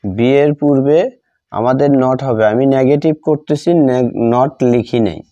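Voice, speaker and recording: A man with a Bengali accent teaching a grammar lesson step by step, halting and repeating phrases in short fragments as he writes. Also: medium pitch, mellow. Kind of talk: step-by-step grammar lesson, spoken while writing. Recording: dull lo-fi sound.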